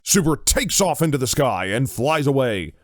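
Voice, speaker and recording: Mid-pitched male audio drama narrator. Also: sing-song, moderate pace, dry recording, clean studio sound.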